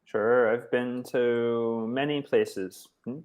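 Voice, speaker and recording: A man from the United States, tutoring English over a video call in a relaxed, encouraging way with many short affirmations. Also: mid-range voice, slow, dull audio. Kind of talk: casual tutoring chat.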